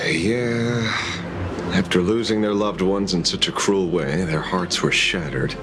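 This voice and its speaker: A man playing a wry, world-weary but sharp private detective in a video game, speaking in a dry, musing way. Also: deep voice, slow, over music. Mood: somber.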